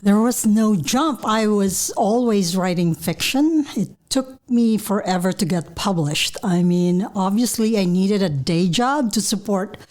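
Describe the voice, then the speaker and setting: A woman's voice: medium pitch, unhurried, hardly any pauses, a little mellow. Middle-aged female guest, Filipino accent, mid-range voice, conversational interview delivery. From Philippines.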